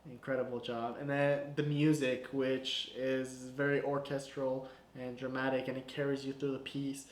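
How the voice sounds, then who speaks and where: A young man talks through his filmmaking in a loose, chatty way, leaning on "like" and "you know". His voice sits at an ordinary middle pitch for a man. The sound carries a roomy echo. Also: level tone, moderate pace. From Guatemala. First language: Spanish. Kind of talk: casual breakdown of his short film. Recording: echoey room.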